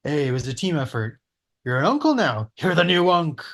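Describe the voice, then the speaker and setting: A man's voice: on the high side, big pitch swings, moderate pace, creaky, a bit bright. A man reading a pushy, friendly in-law's lines at a casual table read. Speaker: cast member at a table read.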